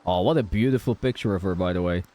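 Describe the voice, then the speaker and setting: A man's voice: low voice, fast, dark tone. A man streaming a game playthrough, giving casual, laid-back commentary with muttered asides to his viewers. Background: faint game music.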